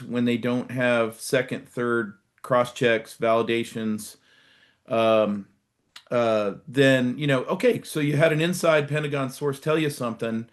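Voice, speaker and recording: A middle-aged or older American man speaking as a guest commentator, explaining things conversationally in a measured way with emphatic stresses. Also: medium pitch. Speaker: guest commentator, retired military. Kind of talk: live political commentary, conversational.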